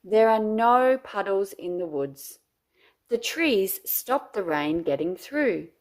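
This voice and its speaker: An Australian woman, a teacher, reading a children's picture book aloud with deliberate, expressive phrasing. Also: medium pitch. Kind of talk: children's storytime read-aloud.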